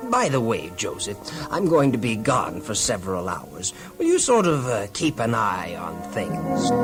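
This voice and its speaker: A kindly old-fashioned prison keeper in a children's audio drama. His talk swings up and down with hearty enthusiasm, rambling into hesitant asides. Music plays clearly behind him. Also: medium pitch.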